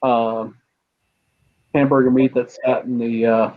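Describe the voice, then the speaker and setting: A man hosting a casual chat, hesitant, with many pauses and fillers. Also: medium pitch, flat delivery. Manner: halting, lots of uh fillers. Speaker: host of a chat stream. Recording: tinny phone-like line.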